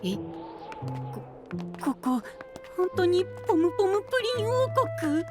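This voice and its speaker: Very high-pitched innocent young boy. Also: very animated, measured pace, over music, full character voice. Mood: surprised, eager. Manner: childlike, soft, earnest. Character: sweet, innocent, eager young boy knight. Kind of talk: anime-style audio drama acting.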